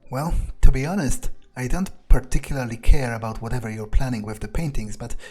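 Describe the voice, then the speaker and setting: A man improvising in character during a video game playthrough, thinking aloud bluntly and haltingly, with swearing. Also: medium pitch, darkish tone, husky, faint music behind. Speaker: video game player speaking as his character.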